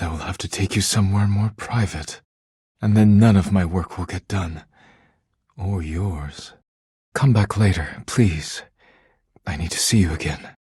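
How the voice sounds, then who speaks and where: An actor playing a proud, formal male artist in a video game, with a husky voice and deliberate, theatrical phrasing. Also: sits low, warm tone, no echo, clean studio sound, heavily acted. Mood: tender, longing. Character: proud, formal, easily wounded male artist, devoted when befriended.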